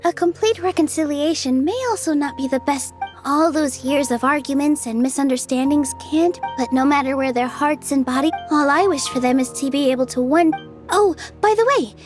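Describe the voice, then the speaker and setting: A kind young woman dancer from a video game acted by a woman, with a high, girlish pitch. She speaks earnestly and encouragingly, with game music clearly behind her. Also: normal speed, lightly acted. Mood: thoughtful.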